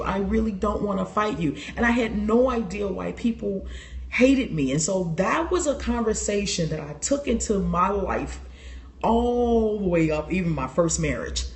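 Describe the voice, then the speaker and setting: A woman hosting a casual livestream on her phone, animated and laughing often as she talks straight to her viewers. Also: mid-range voice. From Chicago. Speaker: livestream host. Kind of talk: casual personal talk to viewers.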